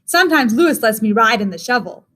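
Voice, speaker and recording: A woman voicing a gentle male toy lamb who comforts the other toys, in a soothing, encouraging way. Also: a little high, expressive, a little fast, pitched below the performer's own voice. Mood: kind. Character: gentle kind toy lamb, boyish and reassuring.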